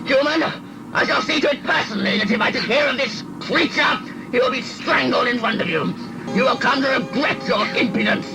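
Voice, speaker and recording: A woman's voice: high voice, fairly quick, vocal fry, music in the background, low-quality recording. A woman playing a pompous, threatening alien commander in a sci-fi audio play. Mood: imperious, menacing.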